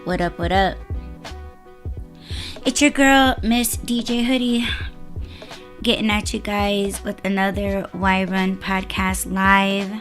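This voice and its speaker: Hoarse, raspy woman hosting a laid-back podcast, unhurried delivery. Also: medium pitch, fairly even intonation, faint music behind. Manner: laid-back, laughs often. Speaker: podcast host. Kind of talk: casual podcast chat.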